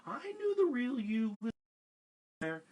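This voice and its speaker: A man voicing a kind, plump old woman, speaking brightly and gently in a sing-song way. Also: very high voice, wildly swooping pitch, takes it slowly, husky, pitched above the performer's own voice, exaggerated voice. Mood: gentle.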